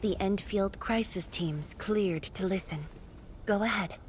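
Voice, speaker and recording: An actress playing a composed, courteous woman official in a video game, speaking in a formal, measured, authoritative way. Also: medium pitch, slight background noise, close to an everyday voice. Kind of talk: video game cutscene acting.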